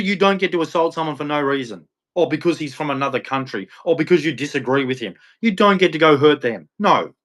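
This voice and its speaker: A man's voice: a little high, fairly bright tone. An Australian man streaming a blunt, confrontational rant to his chat, ending with a preachy religious sign-off. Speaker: live streamer. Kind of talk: ranting monologue to chat.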